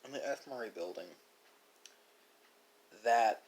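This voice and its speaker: A man delivering a reflective monologue, speaking philosophically with dramatic pauses and emphasis. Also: mid-range voice, darkish tone, poor audio. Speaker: video creator.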